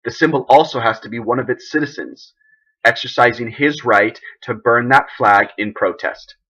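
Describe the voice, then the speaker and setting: Man acting a forceful, husky, indignant president. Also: mid-range voice, rough recording. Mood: firm, indignant. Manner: oratorical, emphatic, deliberate. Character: embattled US president, a firm, indignant, idealistic man. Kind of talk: dramatic film monologue as a televised speech.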